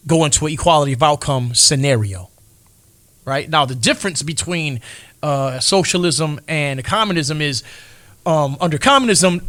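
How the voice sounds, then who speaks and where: A man co-hosting a faith podcast, explaining in a measured way with firm opinions. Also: on the high side, a bit bright. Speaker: podcast co-host.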